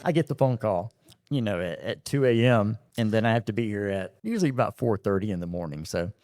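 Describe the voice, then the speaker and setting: Middle-aged radio host in casual storytelling. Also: male voice, fairly low pitch, fairly quick, no room sound, studio quality. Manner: relaxed storytelling with frequent fillers. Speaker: radio morning show host and operations manager. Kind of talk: casual interview chat.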